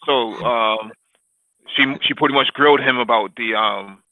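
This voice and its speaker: A man's voice: mid-range voice, normal speed, no room sound. A man rambles through a political chat, stacking up fillers and tossing in crude jokes and curses. His voice comes through dry and thin, with the narrow sound of a phone line. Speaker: podcast co-host. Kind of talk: casual political discussion.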